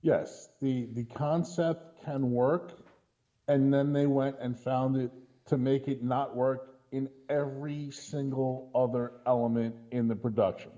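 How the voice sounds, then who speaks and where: Very dark-voiced exasperated male film critic. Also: mid-range voice, fairly even intonation, a little slow, muffled recording. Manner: exasperated, punctuates points with "right?". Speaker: podcast co-host or guest critic. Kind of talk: casual critical film commentary.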